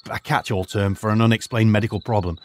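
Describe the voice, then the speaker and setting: A man's voice: on the low side, steady tone, close to an everyday voice. A man playing a lecturing old archaeologist teacher. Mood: measured. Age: older. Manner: measured, explanatory, professorial. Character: retired archaeologist turned high school teacher, lecturing and cautious. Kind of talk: audio drama acting.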